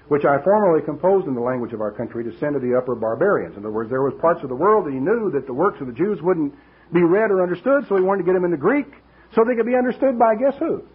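Husky older preacher, animated delivery. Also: male voice, fairly high pitch. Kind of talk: expository sermon, teaching. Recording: dull, lo-fi radio-like recording.

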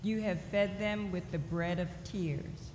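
A middle-aged woman reading scripture aloud at a church lectern in a slow, deliberate way. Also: lowish voice. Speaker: lay reader. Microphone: lectern microphone. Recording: echoey church hall with faint noise.

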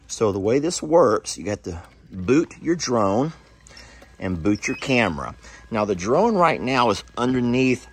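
A man's voice: mid-range voice, very animated, measured pace, slight background noise. A man, hosting a casual farm vlog outdoors and filming himself on a phone at arm's length. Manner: folksy, explains to viewers, hollers to his kids. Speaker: vlog host. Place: outdoors on a rural property. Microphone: phone camera at arm's length.

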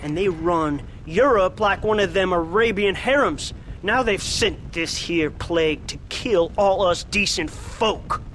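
Western game role: old American storekeeper, bigoted crank, shrill and high, folksy righteous ranter. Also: male voice, a little slow, hardly any pauses, fairly bright tone, a little hiss or hum. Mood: indignant ranting. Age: older. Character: bigoted, paranoid, cranky old frontier shopkeeper. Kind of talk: video game cutscene acting.

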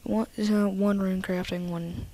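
A woman casually walking through her game inventory in a soft, half-whispered voice, a little nasal from a cold, recorded on a computer mic. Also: mid-range voice, flat delivery, unhurried, rough recording. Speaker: gaming video maker.

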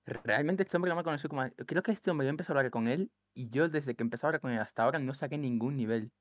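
Fast-talking Cuban-born young man. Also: medium pitch, no room sound. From Cuba. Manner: fast, rambling, says loco and brother. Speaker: podcast guest. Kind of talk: casual chat.

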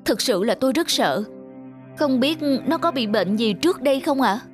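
A woman voicing a kind, anxious young female dog adopter in a cartoon, with an expressive, earnest delivery. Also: high-pitched, normal speed, faint music behind. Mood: worried. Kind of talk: animated cartoon dubbing.

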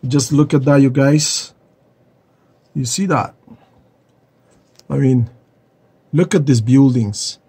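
A man's voice: medium pitch, takes it slowly, long pauses, husky. A man giving casual, informal live commentary to viewers while flying a cockpit simulator. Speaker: vlogger, amateur flight simulator pilot. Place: small home-built cockpit simulator, indoors. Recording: loud engine and cabin noise. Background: loud engine drone and air rush.

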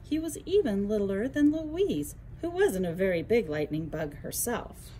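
Female storyteller for children's picture book: lively intonation, slow pace, faint noise behind. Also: mid-range voice, low-quality recording. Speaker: librarian reading a children's story. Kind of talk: expressive children's bedtime story narration.

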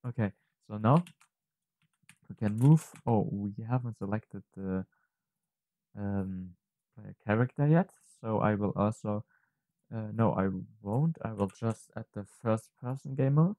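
A man narrating an unscripted software tutorial, thinking aloud haltingly and correcting himself as he goes. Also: fairly low pitch, no echo. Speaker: tutorial presenter.

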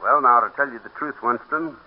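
A man's voice: medium pitch, brisk, natural voice. An actor playing an amiable male straight man in 1940s radio comedy, on a muffled broadcast. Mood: amiable, teasing.

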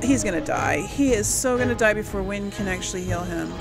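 A woman's voice: mid-range voice, brisk, vocal fry. A woman giving casual let's play commentary as host, chatty and exclaiming as she thinks aloud. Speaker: gaming video host and player. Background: loud game music and sound.

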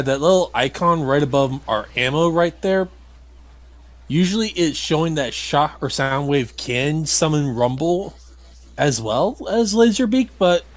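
A man giving casual game commentary as he plays, grumbling and critical with some mild swearing. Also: a little high. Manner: grumbling, critical, mildly profane. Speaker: let's play host playing the game.

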